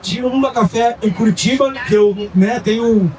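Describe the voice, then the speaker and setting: Guy in his 30s rattling off a story to an audience, highish voice, kinda far off the mic. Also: a little hiss or hum. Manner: quick, chatty, full of fillers and asides. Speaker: coffee business founder giving a talk. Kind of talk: storytelling talk to a live audience.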